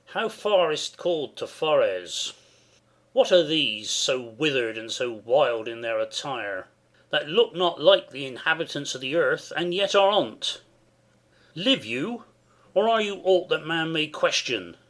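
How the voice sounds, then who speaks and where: A man's voice: a little high, sing-song, a little slow, a bit bright. A man playing a noble, questioning soldier, declaiming in a dramatic Shakespeare reading. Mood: wondering.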